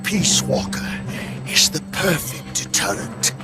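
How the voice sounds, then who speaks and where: An actor playing a zealous, calmly menacing villain in a video game, speaking in a theatrical, pontificating manner. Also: male voice, a little high, slow pace, over loud music. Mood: scornful conviction. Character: zealous, calmly menacing male villain with nuclear deterrence theory. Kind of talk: dramatic video game voice acting.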